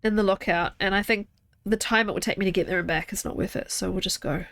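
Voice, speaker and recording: A woman's voice: mid-range voice, steady tone, fast talker, fairly bright tone, dry recording, clean studio sound. A young woman streamer with a congested, stuffy nose, chatting casually and thinking aloud as she plays a game from home. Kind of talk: casual live gameplay chat.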